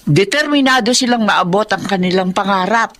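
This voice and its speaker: A woman's voice: medium pitch, normal speed, fairly bright tone, slight background noise, close to an everyday voice. A woman acting a chatty, nosy older female neighbor with a Filipino accent in a radio drama. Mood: admiring.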